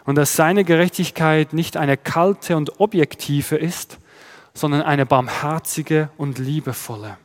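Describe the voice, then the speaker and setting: Swiss-accented pastor preaching in a hall. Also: male voice, a little high. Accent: Swiss German. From Switzerland. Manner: measured, explanatory, poses rhetorical questions. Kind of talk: sermon. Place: church.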